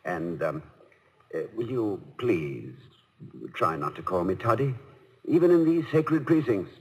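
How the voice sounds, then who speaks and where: An actor playing a dignified, dryly witty middle-aged college president in a 1950s radio comedy-drama, speaking formally and precisely. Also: male voice, lowish voice, very animated, a little slow, darkish tone, breathy edge, heavily acted. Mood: dry amusement. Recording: noisy old radio broadcast recording.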